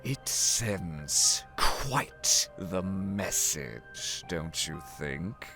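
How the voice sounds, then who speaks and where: An actor playing a sly, theatrical wind spirit in a visual novel, with a teasing, languid, dramatic delivery. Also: male voice, deep voice, animated, very slow, sharp tone, full character voice. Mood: smug, intrigued. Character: sly, theatrical male wind spirit, amused elder brother. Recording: music behind the voice. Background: game music.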